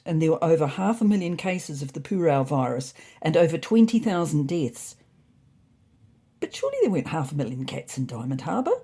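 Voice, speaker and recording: A woman narrates a comic first-person audio story with a very animated delivery. Her mid-pitched voice is mellow and husky, and she tells it expressively, in indignant character.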